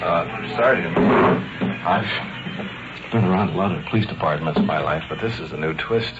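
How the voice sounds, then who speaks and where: A man's voice: low-pitched, a little slow, over loud music. An American man reporting on the scene as host of a 1950s radio police documentary. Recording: dull, muffled 1950s radio field recording.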